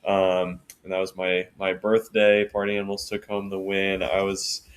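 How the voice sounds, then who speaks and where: A man co-hosting a podcast, talking casually in a flat monotone voice with lots of fillers and restarts. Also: low voice. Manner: unhurried, many fillers and restarts. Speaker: podcast co-host.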